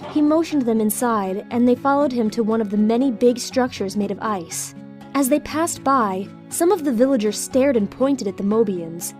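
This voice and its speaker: A woman's voice: a little high, moderate pace, darkish tone, soft background music. A woman reading scripted story narration for an audio drama.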